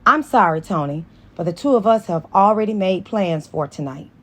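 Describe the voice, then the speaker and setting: A woman voicing a confident, fun-loving young female teacher in an audiobook, in a lively, assertive way. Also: on the low side, normal speed. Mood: placating. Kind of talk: dramatized audiobook dialogue.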